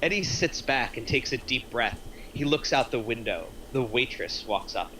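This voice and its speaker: Bright-voiced man narrating a script. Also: medium pitch, animated, normal speed, low-quality recording. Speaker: narrator of stage directions. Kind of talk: scripted narration in a table read. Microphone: online voice chat microphone. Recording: faint noise on online voice call.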